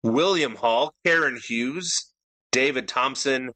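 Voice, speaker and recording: A man's voice: medium pitch, takes it slowly, sharp tone, no room sound. A middle-aged man co-hosting a casual podcast chat, earnest and detail-minded, often restarting his sentences. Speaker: podcast co-host.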